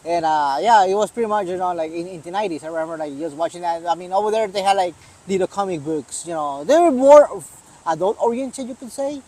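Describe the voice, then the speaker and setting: Easygoing man from Mexico, slightly high. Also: quick pace, mellow, low-quality recording. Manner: easygoing, lots of fillers and agreeing murmurs. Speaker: guest and cameraman. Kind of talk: casual chat.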